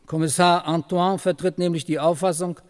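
An actor playing a cheeky, eager junior detective in a German crime radio play, with a jaunty, wry way of reporting. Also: male voice, sits high, flat delivery, normal speed, smooth, close to an everyday voice. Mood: jaunty, teasing. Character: cheeky, eager male police detective, the chief's subordinate.

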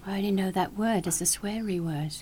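A woman's voice: medium pitch, brisk, dark tone, husky. A woman hosting a casual podcast conversation, asking questions and chiming in with short affirmations. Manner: inquisitive, brief affirmations. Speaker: podcast host.

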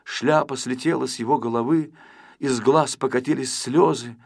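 A man reads a children's story in a mid-range voice. The delivery is scripted and expressive, that of an audiobook narrator. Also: medium pitch, moderate pace, gravelly.

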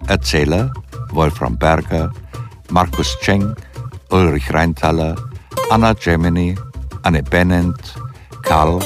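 A man reading out radio play titles and credits in a measured, formal manner. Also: low-pitched. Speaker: announcer. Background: music.

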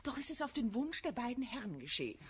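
A woman's voice: on the high side, big pitch swings, fast, fairly bright tone, a little hiss or hum. An actress playing a prim, falsely sweet society lady in a classical verse radio comedy, with affected, mannered declamation.

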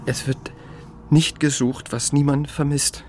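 An actor playing a bewildered, anguished man in an audio drama, emotional and halting, full of questioning. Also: a little high, level tone, darkish tone, slight background noise, close to an everyday voice. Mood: subdued. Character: bewildered, anguished man insisting on his identity. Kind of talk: German audio drama acting.

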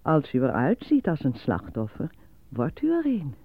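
An actress voicing a formal, matter-of-fact state broadcast announcer reading grim bulletins in a radio play. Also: female voice, low-pitched, wildly swooping pitch, normal speed, dry recording, full character voice. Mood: matter-of-fact warning. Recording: dry, dull lo-fi radio recording.

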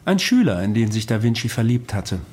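Ein Schauspieler spielt in einem Hörspiel einen müden, ironischen Patienten, der sich für unsterblich hält, trocken und untertrieben. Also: male voice, on the low side, sing-song, fairly quick, darkish tone, slightly rough. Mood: wistful. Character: weary sardonic male patient who claims immortality. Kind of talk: radio drama dialogue.

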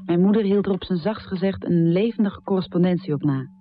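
An actress playing a poet's grown daughter, narrating memories of a difficult mother in a measured, reflective radio drama style. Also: lowish voice, highs cut off, a little hiss or hum, lightly acted. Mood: sober, reflective. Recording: thin, band-limited dry radio recording.

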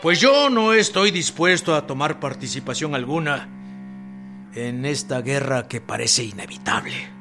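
An actor playing a resolute revolutionary general in a Spanish-language radio drama, speaking in formal declarations. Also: male voice, medium pitch, lively intonation, a little slow. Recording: music bed behind the voice.

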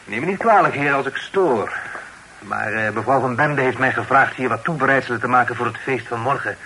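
Bright, forthright young gentleman. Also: mid-range voice, brisk, poor audio. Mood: courteous. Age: young adult. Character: forthright, courteous young gentleman, rejected suitor. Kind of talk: Dutch radio play acting, old-fashioned and formal. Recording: old radio play recording, background noise.

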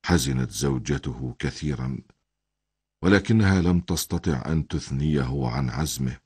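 An older man with a deep, husky voice narrating a religious cartoon story as a grandfather, solemn and formal. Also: moderate pace, a little mellow, no echo, clean studio sound.

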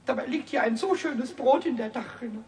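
A man voicing a cheeky, childlike little kobold who chatters excitably to himself in a squeaky voice in a children's radio play. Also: moderate pace, distant mic, crowd noise. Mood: grumbling, amused. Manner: excitable muttering to himself, exclaiming.

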